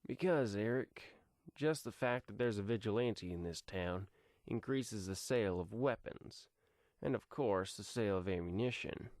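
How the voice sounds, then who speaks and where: A man's voice: fairly low pitch, normal speed, a little mellow, no echo, clean studio sound, close to an everyday voice. Man playing a drawling, menacing crime boss. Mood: smooth, businesslike. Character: menacing male crime boss, smug and threatening.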